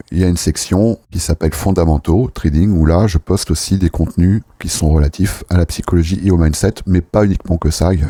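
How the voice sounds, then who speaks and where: A man hosting a market commentary, talking viewers through his explanations in a measured, conversational and didactic way. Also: low-pitched, warm tone, slightly rough, no echo. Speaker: host, trading analyst.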